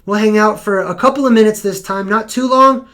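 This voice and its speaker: A man's voice: high voice, brisk, pushed and bright. A young man hosting a casual live stream, losing his voice, rambling and correcting himself as he talks. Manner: rambling, self-correcting, conversational.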